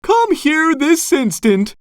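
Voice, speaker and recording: A woman's voice: high-pitched, sing-song, slow, no room sound, studio quality, voice pushed up, heavily acted. A woman voicing a bossy, scolding cartoon mother pufferfish in a children's storybook, commanding and insistent. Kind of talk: children's storybook character reading.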